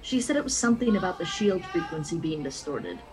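A woman's voice: lowish voice, sing-song, brisk, low-quality recording. A woman acting a composed, resolute community leader and mother in an amateur radio drama. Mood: relieved, explaining.

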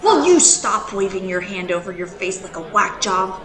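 Audio drama: stern, suspicious woman friend, blunt and sharp-toned, roomy echo, faint music. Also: mid-range voice, very animated, moderate pace. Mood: exasperated, firm. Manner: blunt, commanding, sarcastic. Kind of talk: modern audio drama acting.

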